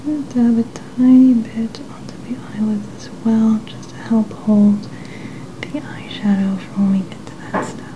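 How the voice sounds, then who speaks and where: A woman gently whispering in a breathy voice, reassuring as she narrates an ASMR personal-care role-play. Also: on the high side, steady tone, moderate pace, dull audio, background noise. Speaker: ASMR makeup artist.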